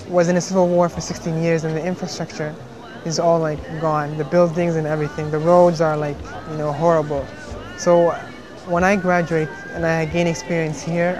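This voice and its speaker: A young man from Somalia speaks earnestly, pausing and restarting often. His pitch sits a little high but barely moves. Music plays softly under him. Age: young adult. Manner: earnest, halting, many fillers and restarts. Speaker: engineering student. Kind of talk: interview testimony.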